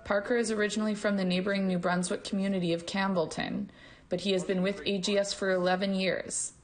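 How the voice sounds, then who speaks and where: A woman narrating a scripted news report in an even, measured way. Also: medium pitch.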